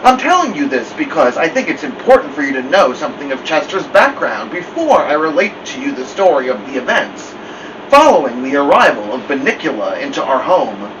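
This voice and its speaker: A man reads a children's story aloud as a storytime host, with animated, expressive pitch. He sounds some distance from the mic, with clear background noise. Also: fairly quick. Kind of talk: expressive children's audiobook-style narration. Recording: dull, distant mic, steady air-conditioning-like noise.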